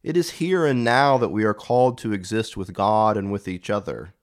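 A man preaching a reflective homily in measured, deliberate phrases. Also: lowish voice.

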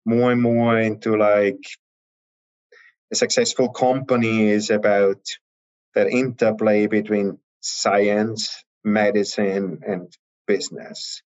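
A man in his fifties with an Austrian accent, a guest talking in a relaxed, rambling way with many fillers. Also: fairly low pitch. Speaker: guest, venture partner. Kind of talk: casual interview talk. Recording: dull lo-fi audio.

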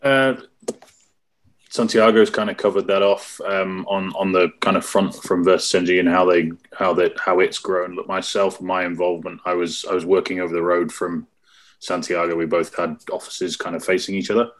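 Deep, flat-toned British man. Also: normal speed. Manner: relaxed, conversational, with fillers. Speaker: startup co-founder and COO. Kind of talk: interview answers.

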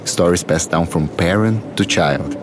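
An actor playing a cautious, grave male expedition guide in an audio drama, measured and foreboding. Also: low-pitched, background noise. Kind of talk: immersive audio drama acting.

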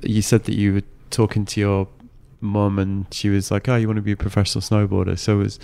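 A man's voice: fairly low pitch, completely flat delivery, fast talker, vocal fry, warm tone, clean tone. A man hosting a casual interview, asking easygoing questions and adding short agreements. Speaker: interviewer, podcast host.

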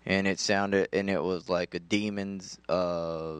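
A man chatting casually as a discussion participant, in a halting, loosely worded way. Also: low-pitched, little pitch movement, clear, resonant tone, no echo. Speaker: participant answering the host. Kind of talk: casual chat.

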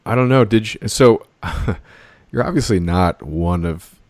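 A man's voice: on the low side, animated, unhurried, a little mellow, no echo. A man hosting a podcast, asking curious, rambling questions in a casual interview. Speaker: podcast host.

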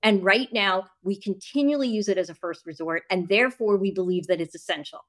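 A woman's voice: mid-range voice, fairly quick. A woman interview guest debating and explaining her position in an insistent, argumentative manner. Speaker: guest, author and journalist.

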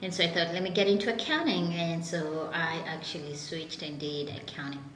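A woman's voice: fairly low pitch, normal speed, fairly bright tone, roomy sound, soft background music. A Kenyan woman in her forties, a company founder telling her story in a casual interview, laughing often. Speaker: founder and CEO guest.

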